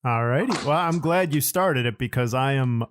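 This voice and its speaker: Podcast host, man, medium-pitched voice, easygoing conversational banter. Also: moderate pace, slight background noise. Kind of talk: casual podcast chat.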